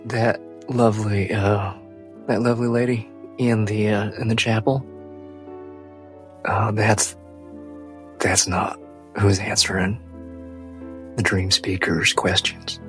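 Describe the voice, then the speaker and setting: A man playing a weary, weakened adventurer who speaks haltingly and politely in a husky voice. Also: fairly low pitch, fairly even intonation, a bit bright, soft background music. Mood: secretive. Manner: halting, hesitant, slow.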